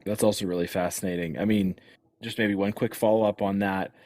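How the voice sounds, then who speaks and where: A man's voice: on the low side, fairly even intonation, fairly quick, darkish tone, no echo. A man hosting an interview podcast, who affirms his guests and asks follow-up questions. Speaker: podcast host.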